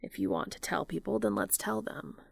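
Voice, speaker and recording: Woman as earnest gentle male lover, fan fiction romance, measured, soft-spoken, gravelly, dry recording. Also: mid-range voice, dry recording.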